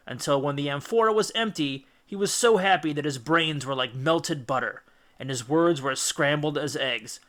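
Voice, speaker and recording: Forceful male storybook narrator. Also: on the high side. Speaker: audiobook reader. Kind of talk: dramatic scripted storytelling narration.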